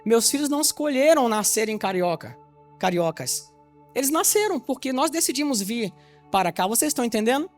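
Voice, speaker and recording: A man's voice: very high-pitched, big pitch swings, fairly quick, soft background music. A man from Salvador, Bahia, preaching an evangelistic sermon to his congregation. He teaches with rhetorical questions and keeps checking whether they understand. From Salvador, Bahia, Brazil. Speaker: pastor.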